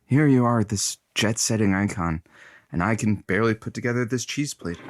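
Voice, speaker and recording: An actor playing a petty, mocking radio producer and announcer in a comedic audio drama, with halting patter and theatrical outbursts. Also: male voice, lowish voice, natural voice. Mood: sulky, wheedling.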